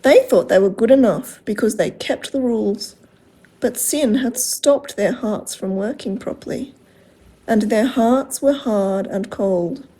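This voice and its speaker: Female children's storyteller, unhurried, soft-edged mellow tone with some huskiness, expressive read-aloud delivery. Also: a little high. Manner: expressive, simple phrasing addressed to children.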